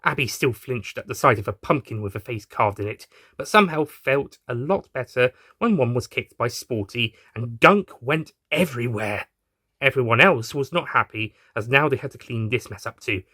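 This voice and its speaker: A male narrator reads a scripted story with lots of movement in his pitch. His voice is bright and forceful, recorded dry and close. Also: animated, moderate pace, breathy edge, no echo. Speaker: narrator and reader.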